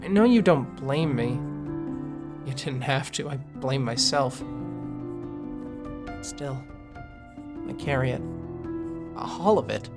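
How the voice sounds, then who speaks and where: A man playing a guilt-ridden witch in an audio drama, speaking a hesitant, halting confession. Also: medium pitch, animated, gravelly, over loud music. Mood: remorseful. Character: guilt-ridden witch writing a remorseful letter.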